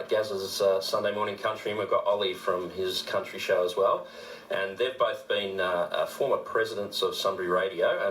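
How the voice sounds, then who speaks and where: An Australian man working as a radio presenter, giving an informal on-air tribute with a halting delivery. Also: deep voice, fairly bright tone. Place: radio studio. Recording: roomy studio with faint noise.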